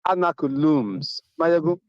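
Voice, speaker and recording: A man's voice: on the high side, lively intonation, measured pace, no echo. A middle-aged Nigerian man who phones in from abroad. He speaks emphatically and keeps repeating his main points. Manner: emphatic, repeats key phrases. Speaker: caller. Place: Atlanta, abroad. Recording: dull muffled phone line.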